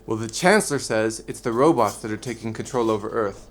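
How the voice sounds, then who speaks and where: A man's voice: fairly low pitch, fairly quick, a little hiss or hum, lightly acted. A man playing a nervous, reluctant young technician in an audio drama, hesitant and easily exasperated. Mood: frustrated.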